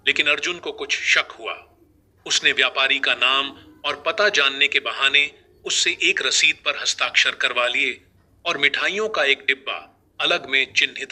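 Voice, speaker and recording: A man narrating a scripted moral story as a storyteller, in a measured delivery. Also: medium pitch, bright, forceful tone.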